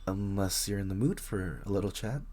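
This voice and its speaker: A man playing a very shy, friendly young writer in an intimate coffee shop roleplay, speaking hesitantly with nervous stammers and a husky voice. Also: deep voice, fairly quick, warm tone. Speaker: audio roleplay voice actor. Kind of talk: intimate one-sided roleplay acting, casual chat.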